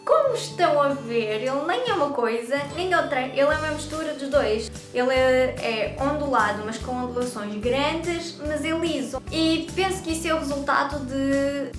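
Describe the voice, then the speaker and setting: Young woman, European Portuguese accent, high voice, talkative vlogger, echoey room. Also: moderate pace, music in the background. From Brazil. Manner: chatty and talkative. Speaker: YouTube vlogger. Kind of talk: casual talk to camera.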